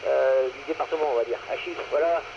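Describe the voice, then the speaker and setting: A man's voice: mid-range voice, brisk. Muffled man on noisy two-way radio. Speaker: amateur radio operator. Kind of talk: casual radio contact chat. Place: radio transmission with noise behind. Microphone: two-way radio microphone. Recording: muffled two-way radio, clear static noise.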